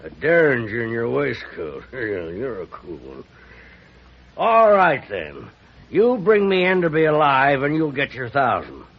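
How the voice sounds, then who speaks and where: An actor playing a scornful, wounded American rancher in a radio Western, with gravelly, folksy, blustering speech. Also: male voice, medium pitch, very animated, unhurried. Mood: grudging, bitter. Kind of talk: old-time radio Western acting. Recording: muffled vintage radio recording.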